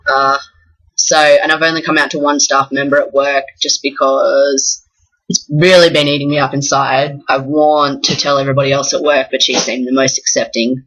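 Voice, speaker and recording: Flat, bright-voiced Australian young man. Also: on the high side, breathy edge, rough recording. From south coast of New South Wales, Australia. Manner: frank, rambling, with many pauses. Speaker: vlogger. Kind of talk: casual personal vlog talk.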